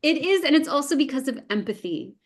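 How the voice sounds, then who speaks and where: A woman's voice: high voice, lively intonation, brisk. A woman speaking as a podcast guest, earnest and encouraging, in a reflective discussion. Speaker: podcast guest, improv performer. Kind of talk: casual discussion.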